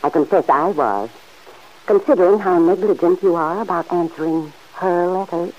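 A woman playing a kindly middle-aged farm aunt who gently chides the man in her home, in 1940s radio acting. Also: lowish voice, close to an everyday voice. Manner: gently chiding, motherly, quick to laugh. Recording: dull old radio recording.

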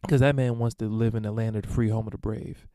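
A man talks alone into a dry, close recording, his voice smooth and warm. He rambles through listener emails with crude, irreverent jokes and lots of fillers, in an unhurried host's monologue. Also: fairly low pitch, steady tone, dry recording. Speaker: podcast host answering listener emails. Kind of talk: casual solo monologue.

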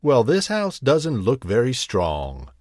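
Growling storybook wolf put on by a man, greedy, swooping lively delivery, dry close sound. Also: mid-range voice, slow, dry recording, pitched above the performer's own voice, heavily acted. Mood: menacing. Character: big bad hungry male wolf.

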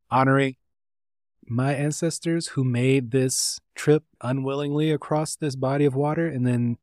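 A man from North Carolina, a guest artist, talking in a relaxed, rambling way with lots of fillers. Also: mid-range voice, dry recording, clean studio sound. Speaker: interview guest, artist and tattooist. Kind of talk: casual conversation.

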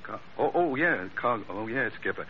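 An actor playing an eager, easily flustered ship's mate in an old-time radio adventure, stammering in a folksy way. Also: male voice, mid-range voice, expressive, normal speed, close to an everyday voice. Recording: muffled, noisy vintage radio recording.

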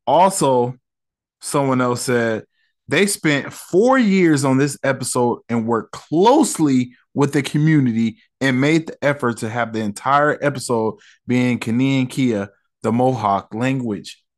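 A man hosts a solo podcast and breaks down an episode in an easygoing, conversational way. His voice sits at an ordinary middle pitch for a man. He leans on slang and plenty of fillers as he talks things through. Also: measured pace. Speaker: podcast host reviewing an episode. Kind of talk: casual solo podcast review.

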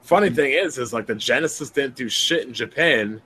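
A man's voice: medium pitch, lively intonation, moderate pace, bright, forceful tone, slight background noise. A man in casual gaming banter, blunt and dismissive, arguing hard against the others. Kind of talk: casual banter among friends.

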